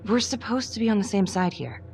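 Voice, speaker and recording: A woman playing a determined, guarded homicide detective in a crime thriller game, serious and direct with a creaky voice. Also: medium pitch, animated, a little fast, a little mellow, natural voice. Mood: hurt, confrontational. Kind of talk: cinematic crime game acting. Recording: dramatic music behind the voice. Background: music.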